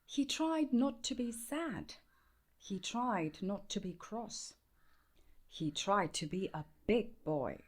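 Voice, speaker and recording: A woman with an Indian accent reading a children's bedtime story aloud in a measured, expressive way. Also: medium pitch. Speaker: storybook reader.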